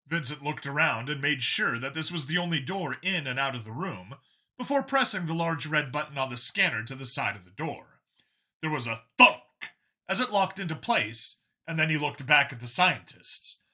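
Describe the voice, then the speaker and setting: A man's voice: mid-range voice, pushed and bright, breathy edge. A man reading scripted fiction as an audiobook narrator, in a dramatic storytelling style.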